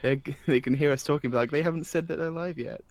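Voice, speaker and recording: A man co-hosting a drawing critique stream, giggling and tossing in quick asides. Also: on the high side, darkish tone. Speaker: co-host of an art critique stream. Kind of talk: casual group chat.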